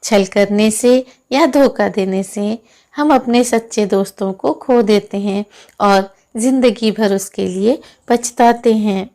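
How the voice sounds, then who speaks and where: Female storyteller for children's moral tales, medium pitch, very slow, measured delivery. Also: a little mellow.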